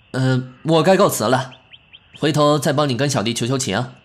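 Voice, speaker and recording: An actor playing a proud, sharp-tongued but caring young man in an ancient-costume audio drama, huffy and scolding. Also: medium pitch. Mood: huffy concern. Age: young adult.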